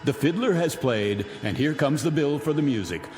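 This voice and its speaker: A man's voice: a little high, animated, moderate pace, close to an everyday voice. A middle-aged actor playing a vindictive rich man taunting his guests in 1960s television drama style, mocking and grandiloquent. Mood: commanding, gloating. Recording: vintage TV soundtrack, reverberant, music behind.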